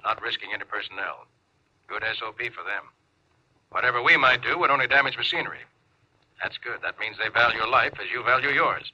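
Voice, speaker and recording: A commanding starship captain in 1950s radio style. He speaks with clipped, deliberate authority, through a dull old broadcast recording. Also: medium pitch, sharp tone, husky. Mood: calm command. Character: commanding, pondering male spaceship captain. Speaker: actor in a radio drama.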